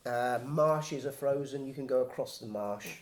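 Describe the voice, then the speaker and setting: A man's voice: medium pitch, moderate pace. Man, teaching basics. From England. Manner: patient, plain step-by-step explaining. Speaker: hiking guide explaining navigation. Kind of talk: casual instructional explanation.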